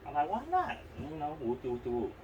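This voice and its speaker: A man's voice: fairly high pitch, wildly swooping pitch, fast talker, a little mellow, muffled recording. Young oakland guy rambling on a podcast, lots of slang and cussing, far from the mic, bit of room noise. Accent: Oakland, California. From Oakland, California. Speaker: podcast host. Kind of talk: casual, candid personal storytelling. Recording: distant mic, noisy room sound.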